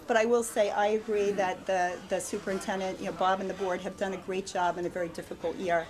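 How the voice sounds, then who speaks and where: A woman's voice: mid-range voice, level tone, fast talker, rough recording. A woman finishing short candidate remarks, hesitant and full of "um" fillers. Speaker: school board candidate. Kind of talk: closing remarks of a short statement.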